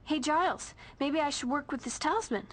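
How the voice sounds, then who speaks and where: A woman's voice: high-pitched, a little fast, fairly bright tone. An actress playing an earnest, chatty teenage witch in a video game. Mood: eager.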